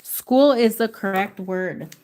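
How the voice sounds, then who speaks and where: Brief: unhurried woman livestreamer, middle pitch, drawn-out casual delivery, reads letters aloud to chat. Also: a little mellow, low-quality recording. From United States. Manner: slow, drawn-out letter reading, switches into Spanish. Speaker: livestream host running a word game. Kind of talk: casual live chat with viewers.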